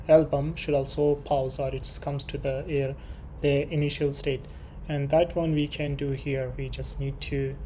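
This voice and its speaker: A programming tutorial presenter talking through code unscripted, haltingly thinking aloud while working. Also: medium pitch, dark tone. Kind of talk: unscripted screen-share coding tutorial. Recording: clear background noise with keyboard typing.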